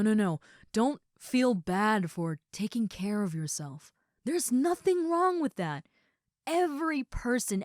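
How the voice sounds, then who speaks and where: A woman playing a big, caring female ogre roommate in an intimate comfort roleplay, speaking in a soothing, earnest way with pauses and soft moments. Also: medium pitch, no room sound, clean studio sound, lightly acted. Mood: reassuring. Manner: soothing, earnest, hushed at times, with pauses and sighs. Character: gentle, caring seven-foot-six muscular female ogre roommate.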